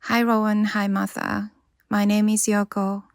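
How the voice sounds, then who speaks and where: A woman from Japan speaks English with a Japanese accent in a careful, measured voice message. Her pitch stays flat and her pace is slow. The sound is dry. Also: no room sound. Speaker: listener asking a question. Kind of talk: recorded voice message.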